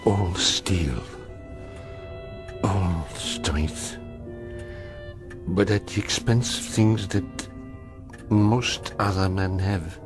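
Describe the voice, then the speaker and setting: An older actor playing an old man who calmly and gravely defies his would-be killer, in a vintage television drama. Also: sits low. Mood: sorrowful. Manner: measured, grave, rising to bursts. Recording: vintage broadcast soundtrack with music.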